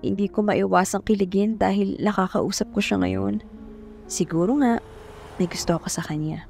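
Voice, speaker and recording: A woman's voice: medium pitch, fairly even intonation, normal speed, creaky, a little mellow, faint music behind, close to an everyday voice. A woman playing a shy, smitten young woman narrating an audio drama, with a Filipino accent.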